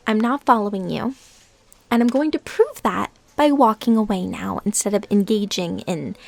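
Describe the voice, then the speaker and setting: A woman playing a lovesick, defensive and unstable former stalker in an intimate audio drama, speaking in a halting, emotionally intense way. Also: mid-range voice, dry recording, lightly acted. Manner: halting, pleading, emotionally intense, drops into whispers.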